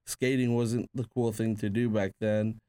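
A man's voice: lowish voice, flat delivery, measured pace, mellow, smooth, no room sound. A man born in Peru, a tattoo artist guest, casually telling rambling stories full of "like" and restarts. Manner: rambling, filler-heavy with frequent "like". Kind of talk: casual conversational storytelling.

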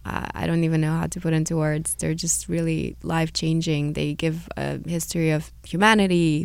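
A woman with a Hungarian Romanian accent speaks as a radio guest in a slightly low, smooth voice. She sounds earnest and encouraging, repeating small words as she goes. The studio sound is close and dry. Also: dry recording. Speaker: guest, holistic healer and author. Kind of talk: radio interview.

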